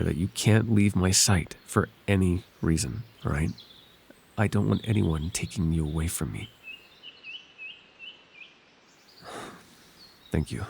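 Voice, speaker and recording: A man voicing a gentle, devoted and bashful winged fairy in an ASMR roleplay, speaking tenderly and hesitantly in formal, old-fashioned phrases. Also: fairly low pitch, creaky, a little hiss or hum. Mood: protective, tender. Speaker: voice actor.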